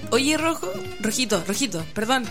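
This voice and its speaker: Chilean woman, radio host, fairly high voice, playful casual banter with music behind. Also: lively intonation, normal speed. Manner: playful, teasing.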